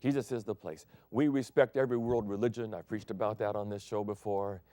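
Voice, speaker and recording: A man preaching a broadcast sermon as pastor, swinging between loud emphatic declarations and soft hushed phrases. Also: on the low side, steady tone, a little fast, no room sound. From Thailand.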